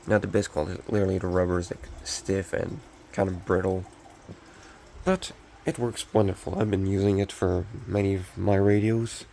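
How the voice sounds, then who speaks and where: Low, scratchy-voiced young man chatting. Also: steady tone, moderate pace, mellow. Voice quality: scratchy, gravelly. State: recovering from illness, scratchy voice. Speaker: hobbyist collector showing restored radio. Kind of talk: casual show-and-tell chat.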